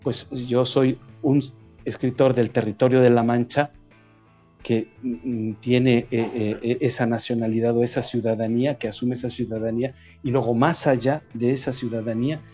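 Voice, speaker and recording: A man with a Mexican accent talks through his ideas as an interviewed writer, pausing and restarting as he thinks. His voice is warm and dark in tone, at an ordinary middle pitch. Faint music sits low behind him. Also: steady tone. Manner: reflective, halting, with frequent restarts. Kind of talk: conversational interview.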